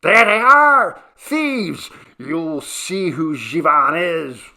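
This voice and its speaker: A man voicing a hot-headed, furious villager who shouts aggressively in an audio story. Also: a little high, animated, very slow, creaky, pitched above the performer's own voice, exaggerated voice. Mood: enraged. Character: furious male villager raising the alarm, hot-headed avenger.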